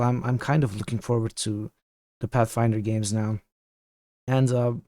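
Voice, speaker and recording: A man who is losing his voice from illness chats with his viewers while streaming a game, rambling and halting as he thinks aloud from his home setup. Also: medium pitch, a little mellow, no room sound, studio quality. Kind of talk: casual livestream chat.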